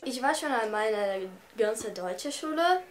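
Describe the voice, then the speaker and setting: A woman's voice: on the high side, lively intonation, normal speed, over music. Teenage girl searching for words. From Brazil. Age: teens. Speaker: student. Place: school room indoors.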